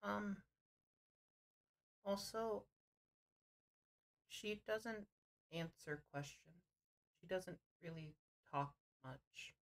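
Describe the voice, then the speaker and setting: Slow, halting game master on a call. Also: mid-range voice, creaky. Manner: halting, often pausing mid-sentence to improvise. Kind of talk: narrating an improvised tabletop roleplay game. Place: online voice call.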